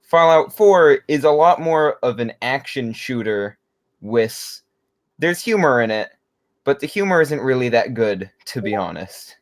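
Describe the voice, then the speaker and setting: A man's voice: mid-range voice, lively intonation, unhurried. A young man who is a guest on a casual gaming podcast, easygoing and laid-back.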